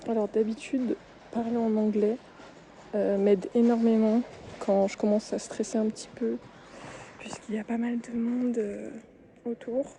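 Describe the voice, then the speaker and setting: Soft-spoken woman whispering in a store aisle. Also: fairly high pitch, flat delivery, a little slow, vocal fry, slight background noise, poor audio. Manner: soft-spoken, drifting into whispers, many hesitations. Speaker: ASMR creator. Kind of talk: soft-spoken ASMR while tidying shelves. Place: supermarket aisle, indoors. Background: faint store ambience.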